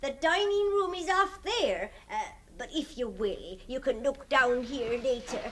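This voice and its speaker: An actress playing a deferential, superstitious working-class housekeeper in a radio drama, chatty and hesitant, with folksy turns of speech. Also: female voice, sits high, expressive, measured pace, a bit bright, far from the mic, slight background noise. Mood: eager, obliging.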